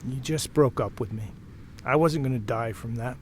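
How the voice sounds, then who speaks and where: A man's voice: medium pitch, moderate pace, slight background noise, lightly acted. A man playing a frank, protective married mill worker and former lover in an audio drama, talking in a plain, reassuring, conversational way. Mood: calming, puzzled.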